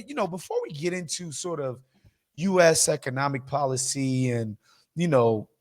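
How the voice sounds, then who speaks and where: A man hosting a podcast in a casual, slangy, conversational way. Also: mid-range voice. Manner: informal, slangy, some swearing. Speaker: podcast host.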